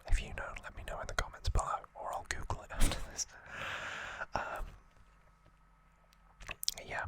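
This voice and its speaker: A man's voice: normal speed, fairly bright tone. A man whispering as an ASMR host, giving an informal, rambling trivia recap and correcting himself as he goes.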